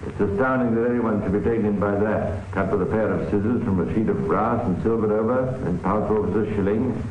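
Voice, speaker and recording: A man's voice: on the low side, fairly quick, close to an everyday voice. A man playing an authoritative narrator and guide in an old radio crime drama. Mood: worldly, explanatory. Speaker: narrator and host. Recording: dull, echoey, noisy old radio recording.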